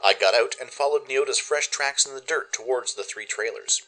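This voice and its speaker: A man narrates a scripted radio drama in the first person. His voice is bright and mid-pitched, expressive and dramatic, with music playing behind him. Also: normal speed, low-quality recording.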